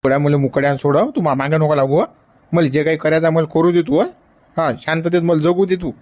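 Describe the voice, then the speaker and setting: Man acting as a stubborn old grandpa, lovesick, whiny insistent pleading, Varhadi Marathi accent, high voice. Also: fairly even intonation, fast, a little mellow, close to an everyday voice. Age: older. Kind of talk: comedy sketch acting.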